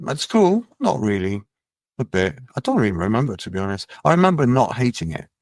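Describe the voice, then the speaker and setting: A middle-aged man from France, a musician guest in a casual interview, talking in a relaxed, musing way with many fillers. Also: medium pitch, fairly quick, dry recording, clean studio sound. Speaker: musician and label head, guest.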